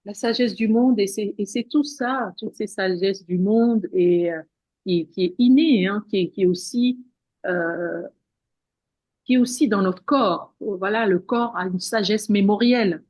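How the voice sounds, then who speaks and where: An earnest woman guest talks at an ordinary middle pitch. She loops over her words and restarts her phrases. The sound is close and dry. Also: measured pace, darkish tone, no echo. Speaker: guest, women's wellness practitioner. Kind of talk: spiritual interview talk.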